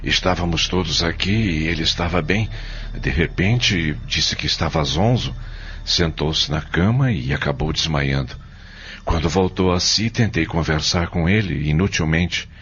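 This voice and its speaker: A man's voice: a bass voice, a little slow, a bit bright, slightly rough, exaggerated voice. A Brazilian man playing a worried, earnest father in an audio drama, courteous and full of questions. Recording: dull lo-fi audio.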